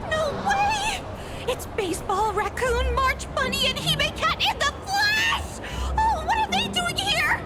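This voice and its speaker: A woman voicing a tiny, squeaky, overexcited child fan in an anime-style game, squealing every exclamation. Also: animated, slow pace, loud music behind the voice, full character voice. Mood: starstruck.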